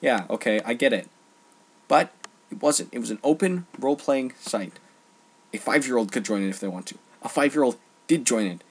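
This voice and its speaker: A man delivering an informal opinion rant, emphatic and halting, often repeating phrases for stress. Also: medium pitch, expressive, breathy edge. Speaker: online ranter.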